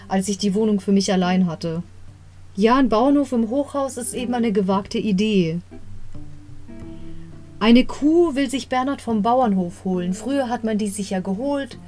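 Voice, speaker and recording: A woman's voice: mid-range voice, warm tone. A young woman casually retelling and commenting on an audio drama episode, chatty and prone to side stories and self-corrections. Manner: chatty, rambling asides, corrects herself mid-story. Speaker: podcast host reviewing an audio drama episode. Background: faint guitar music.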